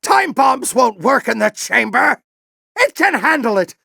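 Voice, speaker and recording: A man playing a grizzled older alien warrior general in a video game, with a gruff, commanding, theatrical delivery and a fanatical intensity. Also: very high voice, big pitch swings, unhurried, pushed and bright, no room sound, clean studio sound, exaggerated voice. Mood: defiant, angry. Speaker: military commander and mentor. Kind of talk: video game voice acting, combat barks and dramatic speeches.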